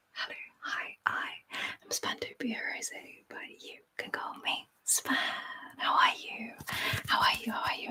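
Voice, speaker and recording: An Australian woman whispering a casual, rambling ASMR livestream, softly repeating words as she chats. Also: on the high side, low-quality recording. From Canberra, Australia.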